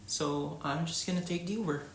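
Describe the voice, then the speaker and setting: A man's voice: on the high side, a little fast, vocal fry, noisy background. A young man from the Philippines with a Filipino accent, talking casually to the camera as a vlogger. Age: young adult. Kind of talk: casual vlog talk to camera.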